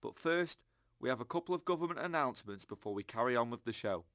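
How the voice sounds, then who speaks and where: An actor playing an earnest, upbeat radio host, chatting to listeners in an audio drama. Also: male voice, mid-range voice, animated, quick pace, fairly bright tone, no echo, clean studio sound, natural voice. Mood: chatty, sincere. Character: chirpy, earnest, government-trusting male radio show host.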